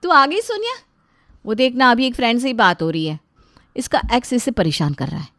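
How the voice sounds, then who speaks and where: A woman's voice: fairly high pitch, big pitch swings, a little fast, fairly bright tone, dry recording, studio quality. A woman voicing a bossy, dramatic young sister-in-law, emphatic and indignant in a story. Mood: breezy, bossy.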